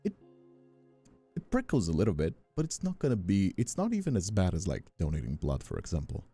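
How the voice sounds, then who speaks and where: Very deep, dark-voiced young man. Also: wildly swooping pitch, brisk, slightly rough, faint music behind. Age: 20s. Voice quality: mature-sounding, older than his years. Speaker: ASMR streamer and voice actor. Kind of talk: casual livestream chat.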